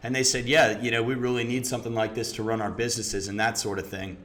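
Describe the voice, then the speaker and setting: A man from Houston speaks as a guest on a talk show, recounting how his business began. He talks in an easygoing way, leaning on filler words as he goes. His voice sits at an ordinary middle pitch. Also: fast talker. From Houston, Texas. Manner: relaxed, many "you know" and "um" fillers. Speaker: startup founder, guest. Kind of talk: casual interview storytelling.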